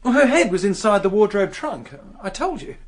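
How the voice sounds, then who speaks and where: A man playing an excitable witness at a crime scene in a radio drama, eager and insistent. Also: high voice, moderate pace. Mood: startled.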